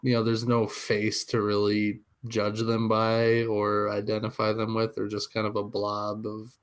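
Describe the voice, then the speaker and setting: Lower-pitched man in relaxed, hesitant podcast chat. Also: a little slow. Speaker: podcast co-host. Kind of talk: casual chat.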